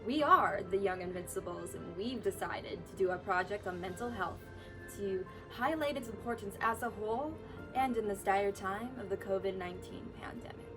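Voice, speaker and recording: A woman's voice: medium pitch, normal speed, rough recording. A young woman, a university student, reading a scripted introduction. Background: music.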